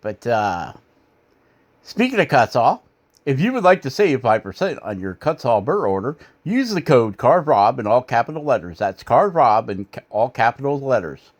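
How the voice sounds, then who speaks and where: A middle-aged man from Michigan, hosting a woodcarving channel, narrating his carving footage in a rambling, self-deprecating, folksy way with lots of "uh" fillers. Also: medium pitch.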